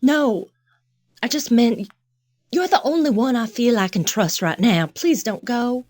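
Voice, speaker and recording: A woman's voice: on the high side, expressive, a little slow, darkish tone, no echo. An actress playing a vulnerable, confused woman with amnesia in an audio drama. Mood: frustrated, upset.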